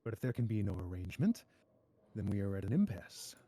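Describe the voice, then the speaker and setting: A man playing a composed, clever masked adventurer in a table read, speaking in a measured, polite, cool way. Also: lowish voice, big pitch swings, dark tone, no room sound. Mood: cool, challenging. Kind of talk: theatrical table-read performance.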